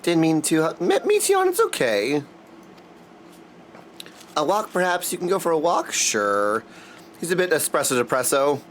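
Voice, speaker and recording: A man's voice: on the high side, very animated, moderate pace, pauses often, fairly bright tone, slight background noise. A man chatting casually and goofily with viewers in slangy language while livestreaming a game. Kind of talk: casual livestream chat over gameplay. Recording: music behind the voice. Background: game music.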